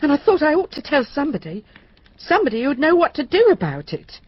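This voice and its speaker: An actress playing a deferential, anxious widowed cleaner, confiding hesitantly in a radio drama. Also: female voice, sits high, very animated, fast talker, muffled recording. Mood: uneasy.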